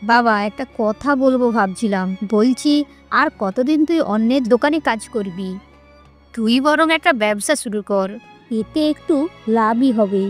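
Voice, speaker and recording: A woman's voice: a little high, measured pace, soft background music. A woman voicing a greedy, bossy middle-aged mother-in-law in a cartoon story, with a scornful, nagging manner. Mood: coaxing, sly. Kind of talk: Bengali cartoon story voice acting.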